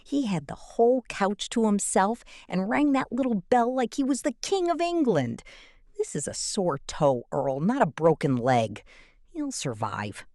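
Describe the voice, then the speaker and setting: A woman's voice: medium pitch, very animated, a little slow, dark tone, no echo. A woman playing a dry, wry older wife who brushes off her husband in a domestic comedy sketch. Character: dry, unimpressed older wife, teasing but fond.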